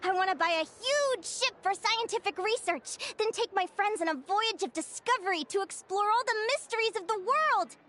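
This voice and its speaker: A woman's voice: very high voice, lively intonation, moderate pace, few pauses, a bit bright, a little hiss or hum. A woman playing an earnest, bookish young fae naturalist who tells her story in an articulate, thoughtful way, as video game dialogue. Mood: dreamy, determined.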